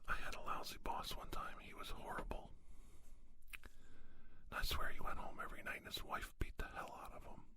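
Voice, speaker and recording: A man whispering an ASMR monologue, giving folksy, plain-spoken advice and anecdotes with unhurried, emphatic pauses. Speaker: ASMR podcast host.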